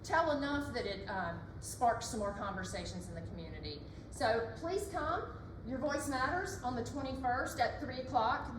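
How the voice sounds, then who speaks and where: Loud earnest woman addressing a room. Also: fairly high pitch. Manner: earnest, encouraging, loud speaking voice. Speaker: community speaker. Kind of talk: public address. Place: library meeting room. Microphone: not using the microphone. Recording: echoey room from far off, noisy.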